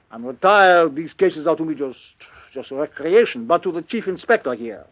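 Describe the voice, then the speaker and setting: An actor playing a fussy, shrewd retired detective with a French-speaking Belgian accent and an emphatic, theatrical delivery. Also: male voice, on the high side, expressive, a little slow, a bit bright. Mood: gently mocking. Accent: French-speaking Belgian, put on. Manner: theatrical, emphatic, repeats words. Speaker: radio actor. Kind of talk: mid-century radio drama acting. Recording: dull vintage radio recording.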